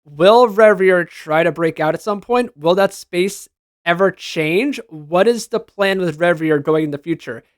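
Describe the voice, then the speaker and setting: Higher-pitched man giving casual fan commentary. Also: unhurried, no echo, studio quality. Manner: informal, gushing fan talk. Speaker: video game reviewer and reaction host. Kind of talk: casual enthusiastic commentary over screenshots.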